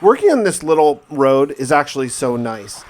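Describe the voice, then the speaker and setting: Male game narrator, slightly high voice, conversational in-character survival diary, thinks out loud. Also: sing-song, moderate pace. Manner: conversational, musing aloud with rhetorical questions. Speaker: gaming video narrator and player.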